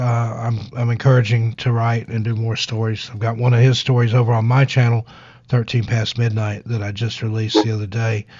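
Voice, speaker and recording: A man with a scratchy, hoarse voice talks loosely and casually, wandering from one thought to the next. He pokes fun at himself without much fuss. The sound is dull and dry, like an online call. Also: mid-range voice, a little mellow, no echo. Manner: rambling, easygoing, self-deprecating. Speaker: guest author and narrator. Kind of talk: casual live-stream interview chat.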